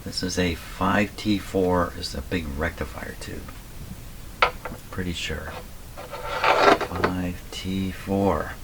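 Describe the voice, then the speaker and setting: A man with a slightly hoarse voice gives casual running commentary as he works, muttering to himself and reading things out deliberately. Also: low voice, fairly even intonation. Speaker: radio repairer and video host. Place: radio repair workshop at a bench. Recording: clearly noisy workshop recording with clicks.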